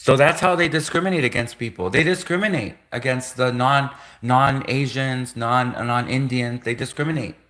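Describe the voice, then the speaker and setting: A man's voice: medium pitch, moderate pace, few pauses, fairly bright tone. A man hosting a livestream, talking insistently with lots of repetition and restarts. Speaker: livestream host. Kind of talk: livestream rant.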